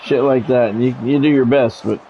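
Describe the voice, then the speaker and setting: A man's voice: medium pitch, steady tone, moderate pace, slight background noise. Middle-aged man, dull lo-fi stream sound. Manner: blunt, profane, conversational. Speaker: game streamer and play-by-play commentator. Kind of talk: live football video game commentary mixed with casual chat.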